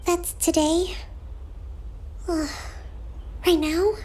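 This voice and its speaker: A woman voicing a gentle, composed young priestess leader in a video game, polite and measured. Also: extremely high pitch, flat delivery, a little hiss or hum, exaggerated voice. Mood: reluctant. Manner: polite, measured, soft-mannered.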